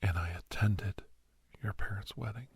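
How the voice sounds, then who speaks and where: A man acts out a kindly older doctor in a gentle ASMR audio drama. His hushed voice is low, crackling with fry and heavy with breath. He rambles softly like a fond storyteller, reassuring his young listener. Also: moderate pace, no echo. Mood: nostalgic. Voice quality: husky, breathy, gravelly whisper-talk. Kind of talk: soft whispered ASMR roleplay monologue.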